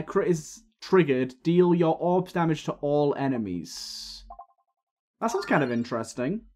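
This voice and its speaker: A man with a slightly raspy voice from a coming cold, chatting casually as he plays and comments on a video game, thinking aloud with plenty of fillers. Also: on the high side, darkish tone. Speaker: gaming video host. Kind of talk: casual let's-play commentary. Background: faint game music.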